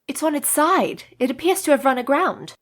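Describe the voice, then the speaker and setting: A woman's voice: high-pitched, expressive, normal speed, a bit bright. An actress playing a sensible, observant, genteel young lady in a radio play, with composed, proper period diction. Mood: inquisitive.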